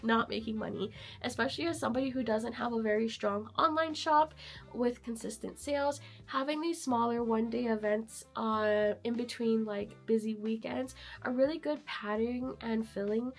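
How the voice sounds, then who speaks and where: A woman vlogger and artist vendor chatting casually to camera, hoarse and losing her voice from being sick, upbeat with frequent fillers. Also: fairly high pitch, fairly even intonation, normal speed. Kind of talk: casual vlog chat to camera.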